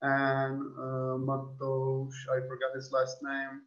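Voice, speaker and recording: A Czech man speaks in a slow, flat voice as he introduces his outreach work with young people. He often searches for words in the language he is speaking. The recording sounds dull and lo-fi. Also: medium pitch. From Czech Republic. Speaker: youth outreach worker.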